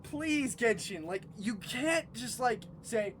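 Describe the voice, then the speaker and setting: A gamer giving let's play commentary, excitable, ranting at the game and making laser sound effects. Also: mid-range voice, slow pace, a little hiss or hum. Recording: voice over loud game music. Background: game music.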